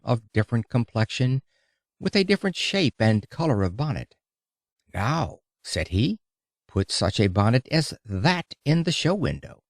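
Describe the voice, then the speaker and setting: A man narrating an audiobook of an old motivational lecture, reading it in an expressive, oratorical way. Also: fairly low pitch, dry recording, studio quality.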